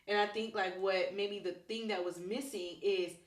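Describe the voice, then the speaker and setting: A woman's voice: mid-range voice, steady tone, moderate pace, echoey room. A woman co-hosting a podcast in casual, colloquial conversation. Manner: colloquial, informal. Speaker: podcast co-host. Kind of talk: casual chat.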